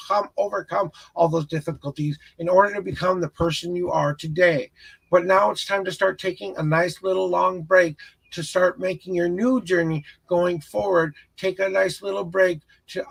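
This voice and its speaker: A man with a crackly, raspy voice giving an earnest, encouraging and rambling tarot reading as a live stream host. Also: high-pitched. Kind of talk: spiritual tarot reading, casual guidance to a viewer.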